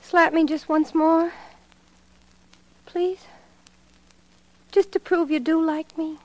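A woman's voice: extremely high pitch, little pitch movement, slow pace, a little mellow, dry recording. An actress playing a streetwise, needy gangster's girlfriend who talks in slang, in a 1940s radio crime drama. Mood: submissive, pleading.

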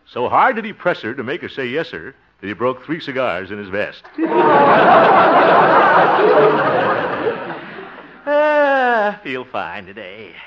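An actor playing a blustery, self-satisfied, jovial man in 1950s radio comedy, theatrical and full of chuckles and exclamations. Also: medium pitch. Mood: smug, cheerful. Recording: muffled, noisy vintage radio recording.

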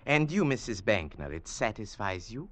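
Silky, imperious Indian gem dealer, deep-voiced. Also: male voice, very animated, moderate pace, dry recording. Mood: silky, courteous. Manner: formal, silky, pompous, imperious. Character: suave, haughty Indian gem dealer in his palace. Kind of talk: old-time radio drama acting.

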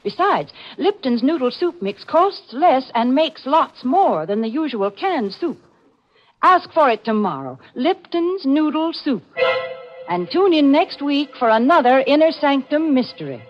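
A woman's voice: high-pitched, sing-song, measured pace, faint music behind. A woman reading a scripted 1940s radio advertisement as an upbeat sponsor announcer. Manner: upbeat sales delivery. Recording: muffled old radio recording.

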